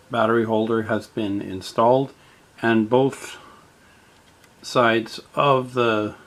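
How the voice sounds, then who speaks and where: A man narrating a step-by-step radio repair in a halting way, with many fillers. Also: fairly low pitch, fairly bright tone.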